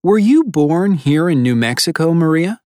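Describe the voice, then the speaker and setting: A woman plays an interviewer asking plain questions in a lesson dialogue. Her voice is low with lively pitch movement. The sound is dry. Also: normal speed, no echo. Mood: curious. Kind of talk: scripted textbook dialogue.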